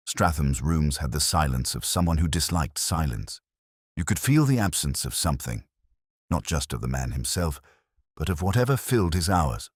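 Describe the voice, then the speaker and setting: A man narrating a detective story in the first person, with a measured, literary, reflective delivery and a husky voice. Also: a bass voice, no echo, clean studio sound.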